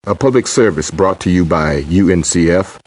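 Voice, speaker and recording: A man with a low voice delivers a scripted public service announcement. He reads as a formal announcer. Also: moderate pace, darkish tone.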